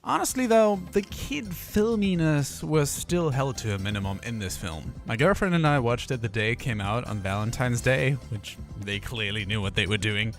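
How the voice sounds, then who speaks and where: A man's voice: medium pitch, a bit bright. A young adult man reading a scripted film review with casual asides, in the manner of a video essayist. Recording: voice-over with music underneath. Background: background music.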